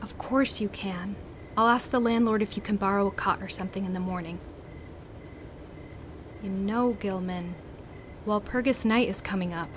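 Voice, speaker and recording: An actress playing a concerned, practical student friend in a radio play, earnest and reassuring. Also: female voice, mid-range voice, normal speed, long pauses, background noise, lightly acted. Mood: worried. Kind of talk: dramatic radio play acting.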